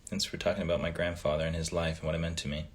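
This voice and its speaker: Low-voiced man chatting with fans live. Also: rapid-fire. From Canada. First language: Italian. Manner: relaxed, trailing off with frequent ums. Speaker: livestream host. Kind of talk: casual livestream chat reading fan comments.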